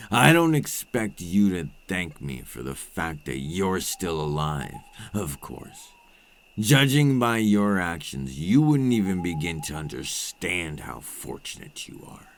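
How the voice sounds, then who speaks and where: A man acting as a cold, possessive vampire captor in an ASMR roleplay, speaking with deliberate, condescending menace and dropping into breathy murmurs. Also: sits low, heavily acted.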